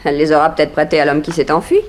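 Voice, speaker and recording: A woman playing a detective's spirited, inquisitive companion in a 1960s French radio drama, expressive and questioning. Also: sits low, very fast, close to an everyday voice. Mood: curious.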